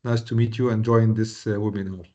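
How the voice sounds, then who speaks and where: Mellow Egyptian-accented man on a muffled call. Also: fairly low pitch, flat delivery, a little fast. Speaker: webinar attendee. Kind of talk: casual introduction on a call. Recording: dull, lo-fi online call audio.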